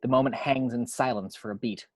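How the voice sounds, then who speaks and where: A man's voice: medium pitch, fairly even intonation, a little fast, no room sound. A man narrating screenplay action lines at an amateur table read in a matter-of-fact storytelling way.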